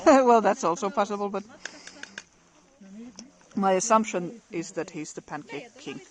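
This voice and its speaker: A woman's voice: mid-range voice, a little slow. A middle-aged woman live-streaming outdoors in a snowy forest, chatting casually with viewers and laughing often.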